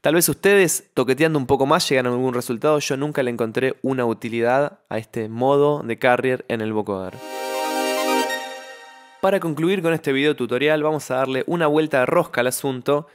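A young man explaining music software in a tutorial, close on the mic in a home studio. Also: medium pitch, a little fast. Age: young adult. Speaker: music production tutorial host. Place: small home studio with foam panels. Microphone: close studio microphone on a stand, with headphones.

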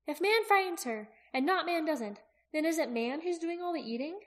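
A woman voicing an excitable, quick-witted young female character in a fantasy audiobook, speaking with emphatic expression. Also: very high voice, voice pushed up. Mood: urgent, inquisitive. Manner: exclaiming, emphatic.

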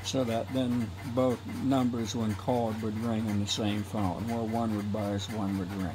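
A man, a former telephone installer, calmly explaining equipment on his workbench in a home workshop. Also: lowish voice, unhurried, noisy background. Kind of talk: technical explanation voice-over for a hobby video.

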